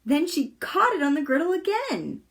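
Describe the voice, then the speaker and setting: A woman reading a children's chapter book aloud with deliberate, expressive storytelling. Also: sits high, gravelly. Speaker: volunteer storybook reader.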